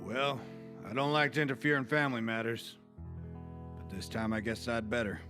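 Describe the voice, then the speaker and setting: A man playing a measured, watchful western marshal in a radio drama, noncommittal in manner. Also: medium pitch, steady tone, pushed and bright, close to an everyday voice. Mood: firm. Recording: guitar music behind the voice.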